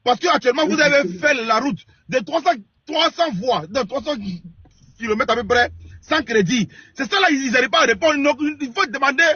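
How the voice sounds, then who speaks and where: A West African man with a very high-pitched, raspy voice hosts a heated online debate. He sounds forceful and insistent, repeating phrases as he cuts in, over dull stream audio. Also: animated, a little fast, dry recording. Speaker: live debate host.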